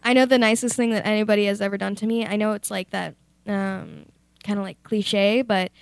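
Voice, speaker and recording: A woman's voice: mid-range voice, steady tone, brisk, creaky, no room sound. A teenage girl presenting a youth radio show, moving between casual chat and scripted reading.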